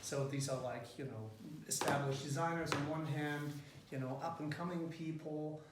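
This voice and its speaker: A man's voice: a little high, steady tone, normal speed. A man introducing an interview project to a company team, talking hesitantly with many fillers. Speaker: interviewer leading a student group. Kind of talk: casual meeting introduction. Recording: echoey room, far from the mic, faint noise.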